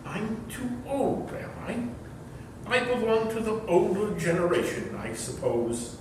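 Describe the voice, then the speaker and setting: A distant, noisy hall recording of a man acting a pompous middle-aged uncle. He speaks slowly and formally, with sardonic stage-comedy weight on his words. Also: big pitch swings. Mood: affronted, sardonic. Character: pompous, wordy bachelor uncle of forty-two, easily affronted. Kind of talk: staged readers theater comedy. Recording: echoey hall, far-off mic, clear rumbling noise.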